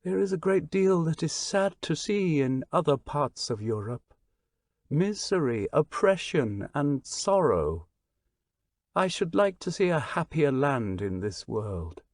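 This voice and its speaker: A man voicing a refined, pale young foreign stranger who speaks in a sweet, clear voice with earnest, solemn gravity. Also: on the high side, very animated, measured pace, dry recording, clean studio sound, voice pushed up.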